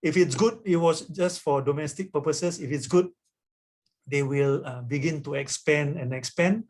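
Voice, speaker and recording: A man's voice: fairly high pitch, moderate pace, darkish tone. Man explaining at length, tagging "right?". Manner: explanatory, often adds "right?". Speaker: guest speaker, author. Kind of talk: webinar talk answering questions.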